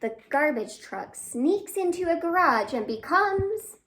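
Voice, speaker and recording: A woman's voice: extremely high pitch, animated, measured pace, fairly bright tone. A woman hosting a children's storytime, reading expressively with asides and questions to young listeners. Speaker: library storytime host.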